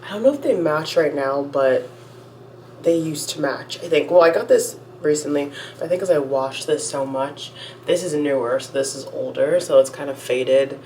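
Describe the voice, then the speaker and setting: A woman's voice: low voice, moderate pace, warm tone, slight background noise. Chatty young woman with a stuffy cold. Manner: chatty, rambling, self-interrupting. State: congested, getting over a cold. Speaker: vlogger. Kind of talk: casual get-ready-with-me chat. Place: at home, indoors.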